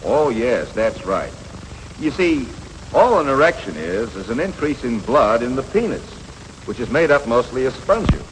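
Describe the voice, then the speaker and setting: Animated, reassuring 1950s American male instructor played by an actor. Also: mid-range voice, normal speed, lightly acted. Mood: frank, reassuring. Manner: plain-spoken, explanatory, reassuring. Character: patient, matter-of-fact male coach teaching adolescent boys. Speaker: instructor of teenage boys. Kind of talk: 1950s educational film acting. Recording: noisy, reverberant 1950s film soundtrack.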